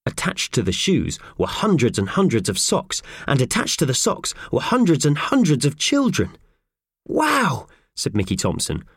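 A man's voice: medium pitch, slightly rough, no echo, studio quality. A man reading scripted narration for a children's audiobook.

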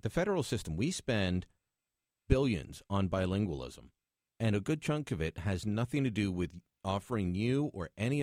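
A man's voice: on the low side, a little mellow, no echo, clean studio sound. A man hosting a call-in radio talk show, arguing his points with a pointed, skeptical manner. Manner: argumentative, pointed.

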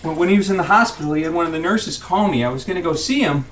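Man acting out tiny drunk pianist, high and swooping, wounded, bitter, anguished, comic stage story, roomy live recording. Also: animated, very fast. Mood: bitter, hurt. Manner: anguished outbursts, exclaiming. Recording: live stage, roomy, distant, loud audience noise.

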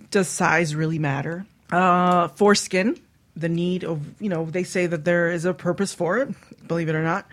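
Female talk radio host, lowish voice, brash and teasing, casual bawdy chat.

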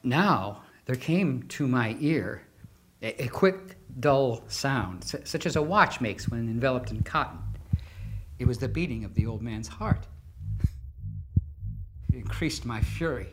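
Gothic horror madman: medium pitch, highly animated, halting, obsessive, theatrical confession of murder. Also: a little hiss or hum. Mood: mounting frenzy. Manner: intense, halting, swinging from hushed to exclaiming. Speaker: dramatic reader narrating a horror story.

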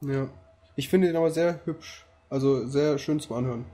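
A man's voice: medium pitch, moderate pace, dark tone. A man chatting in a casual, colloquial style as a co-host in a group podcast.

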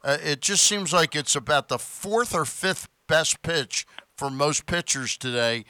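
A man's voice: fairly high pitch, lively intonation, unhurried, pushed and bright, no echo, studio quality. A man hosting a sports talk show, putting conversational questions to a guest.

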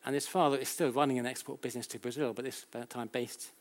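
Male British lecturer, mid-range voice, informal explainer style, halting delivery with self-corrections and rhetorical questions. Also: mid-range voice, fairly even intonation. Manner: conversational, checks in with rhetorical questions. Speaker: academic lecturer. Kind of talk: public lecture, explanatory and anecdotal.

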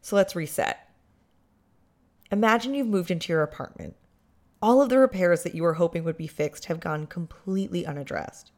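A woman's voice: on the low side, moderate pace. Sardonic woman narrating a podcast. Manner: dry, sardonic.

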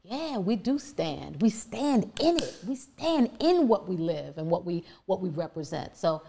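A woman with a deep voice telling her personal story candidly and emphatically, with many fillers and restarts. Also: sing-song, a little slow, creaky, mellow. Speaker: guest.

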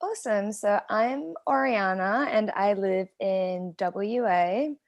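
A woman's voice: medium pitch, slow, lo-fi sound. A woman in her twenties from Venezuela, a podcast guest who chats casually with shy giggles and hesitant fillers. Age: 20s. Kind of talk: casual interview chat.

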